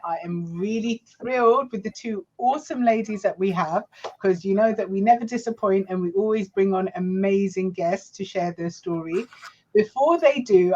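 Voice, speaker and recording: A woman's voice: medium pitch, muffled recording. Gushing British-accented woman co-host. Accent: British English. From Ghana. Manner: warm, effusive, gushing.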